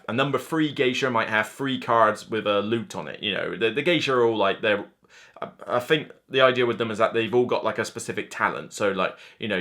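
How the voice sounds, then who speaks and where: A man in his thirties talks casually to camera as a board game reviewer. His intonation swings widely and his delivery is animated and chatty. He speaks off the cuff, slipping into imagined reactions as he goes. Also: mid-range voice. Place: indoor room lined with shelves of board games.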